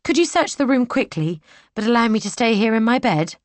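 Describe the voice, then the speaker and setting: A woman voicing a young gentlewoman who politely feigns weakness from her bed. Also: fairly high pitch, lively intonation, fairly quick, dry recording, pitched above the performer's own voice, lightly acted. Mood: feigning. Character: young gentlewoman feigning illness, cunning and composed.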